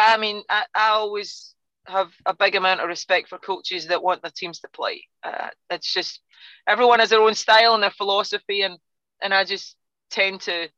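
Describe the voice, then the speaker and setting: A Scottish woman talks through a casual podcast interview with a bright, forceful tone. She restarts her sentences often and fills gaps with "um". The sound is dull and a little muffled, recorded dry with no room echo. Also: medium pitch. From Scotland. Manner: chatty, frequent restarts and fillers, laughs easily. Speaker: guest soccer coach.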